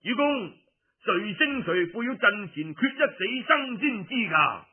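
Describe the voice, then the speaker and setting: A man's voice: high voice, sing-song, a little slow, slightly rough, exaggerated voice. A man with a Cantonese accent voicing a bold, confident nobleman advising his lord on battle in an audiobook, formal and emphatic. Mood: defiant. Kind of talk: audiobook storytelling. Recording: muffled phone-like radio recording.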